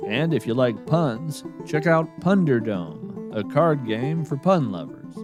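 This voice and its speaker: A man hosting a podcast with scripted narration in a measured, deadpan manner. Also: medium pitch. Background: plucked string music.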